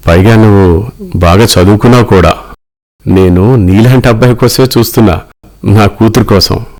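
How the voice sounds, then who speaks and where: A man voicing a wealthy middle-aged businessman father in a cartoon story, measured, earnest and paternal. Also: on the low side, darkish tone, close to an everyday voice. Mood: frank, assured.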